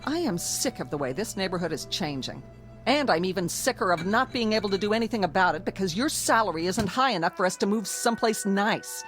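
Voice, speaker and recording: A woman's voice: mid-range voice, lively intonation, a little fast, fairly bright tone, soft background music, lightly acted. A woman playing a domineering, bigoted, nagging wife in a radio drama, scolding and sarcastic. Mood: scornful, bitter.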